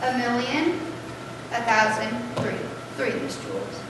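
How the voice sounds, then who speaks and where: A stubborn, protesting schoolboy, acted by a young girl in a stage reading. The voice is on the high side and distant, ringing in a large hall over clear noise. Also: wildly swooping pitch, a little slow. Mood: earnest, counting. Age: young adolescent. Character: stubborn schoolboy eager for recess. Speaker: student performer in a school play. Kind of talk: readers theater stage acting. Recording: echoey hall from far off, noisy.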